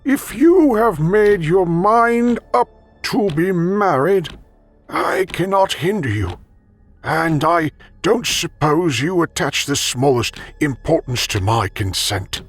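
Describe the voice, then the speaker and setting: A man voicing a cruel, secretive old man on his deathbed, speaking slowly and ominously in an audiobook reading. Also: on the high side, expressive, faint music behind, pitched above the performer's own voice, heavily acted. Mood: menacing. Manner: slow, drawn-out and ominous.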